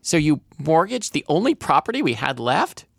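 A man's voice: on the high side, very animated, normal speed, vocal fry, no room sound, clean studio sound, pitched above the performer's own voice, exaggerated voice. A man voicing a distraught, indignant young adult daughter who scolds and pleads with her mother in a dramatic novel reading.

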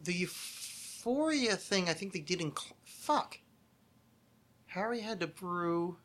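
A man's voice: high-pitched, animated, takes it slowly, a bit bright. A man chatting casually as a podcast host, hesitant and thinking aloud, often self-critical and swearing freely. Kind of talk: casual chat, answering trivia questions.

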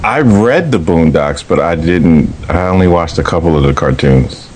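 A man's voice: low-pitched, animated, moderate pace, a little mellow. A man in his late thirties chatting on a podcast, laid-back with dry humor. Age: 30s. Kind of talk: casual chat. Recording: noisy home recording.

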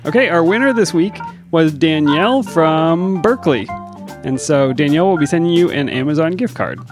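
A man with a Virginia accent co-hosting a science podcast, with a wry, deadpan humor. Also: on the high side, moderate pace, over music. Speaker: podcast co-host. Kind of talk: casual chat, reading a puzzle clue.

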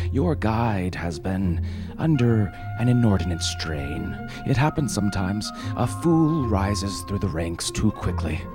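A man performs a smooth corporate vice president from an audio story. His low voice is chatty and unctuous, full of over-polite apology and salesman's patter. Music plays clearly underneath.

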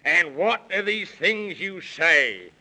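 Blustering, bright-voiced British walrus gentleman. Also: high-pitched, expressive, takes it slowly, heavily acted. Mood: curious, blustery. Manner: pompous, exclamatory, speaking in rhymes. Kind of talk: 1930s children's radio acting. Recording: vintage 1930s radio recording.

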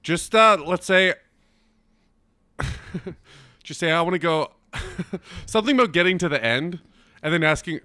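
A blunt man ribbing his friends in crude casual chat, pushing them to get on with it. His voice is bright and a bit tense, fairly high for a man, and his pitch moves a lot. The sound is dry and close. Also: expressive, measured pace, pauses often, no echo. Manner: teasing, bossy, blunt.

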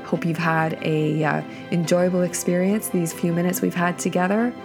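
A woman hosting a podcast solo, speaking earnestly and deliberately in a reflective monologue, her voice lowered by a cold. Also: little pitch movement, over music. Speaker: podcast host.

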